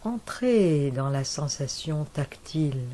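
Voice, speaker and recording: A woman guiding a yoga nidra relaxation in a soft, calm voice with long pauses. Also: sits low. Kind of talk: guided relaxation.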